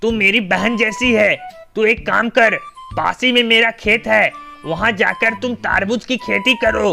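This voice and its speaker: A man voicing a scheming, greedy male owl in a children's cartoon, with a gravelly, sharp voice and an emphatic, theatrical delivery. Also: sits high, fairly even intonation, measured pace, faint music behind, exaggerated voice. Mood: reassuring.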